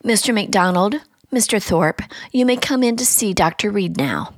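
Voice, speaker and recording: A woman's voice: medium pitch, animated, moderate pace, dry recording. Dry, composed radio-play hospital attendant, acted by a woman. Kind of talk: dramatic radio play acting.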